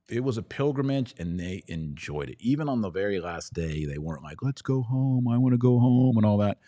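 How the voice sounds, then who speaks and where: Deep-voiced American man, emphatic livestream host. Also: mellow, dry recording, studio quality. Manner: emphatic, opinionated, short repeated phrases. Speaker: podcast host taking live audience questions. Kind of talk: casual livestream monologue, reading and answering comments.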